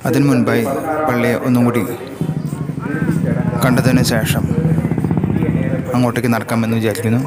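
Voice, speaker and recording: A man with a Malayali accent narrating a travel vlog slowly and in measured, short separated phrases. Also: mid-range voice, little pitch movement, very noisy. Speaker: vlogger. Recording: loud music over the voice. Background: loud music.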